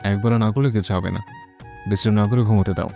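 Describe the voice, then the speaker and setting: A woman voicing a gruff, bossy young husband in an audio story, with curt, commanding lines. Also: a bass voice, steady tone, quick pace, warm tone, pitched below the performer's own voice. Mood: sleepy, grumbling. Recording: music under the voice.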